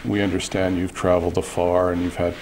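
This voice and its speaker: A man, a visiting artist, speaking in an interview in a thoughtful, halting way with many restarts. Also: low voice, little pitch movement, darkish tone, slight background noise. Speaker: guest artist. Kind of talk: interview conversation.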